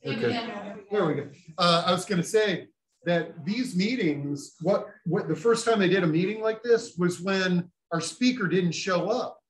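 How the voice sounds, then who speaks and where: A man's voice: sits high, unhurried. Joking man hosting in an echoey room. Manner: loose, joking, filler-heavy. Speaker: meeting host. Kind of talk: casual emceeing. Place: large senior living common room. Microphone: far camera in room.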